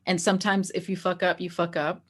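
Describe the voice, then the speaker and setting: A woman with a somewhat low-pitched voice talks as a guest in a conversational interview. She sounds reflective and informal, speaking candidly in everyday language. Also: little pitch movement, brisk, fairly bright tone.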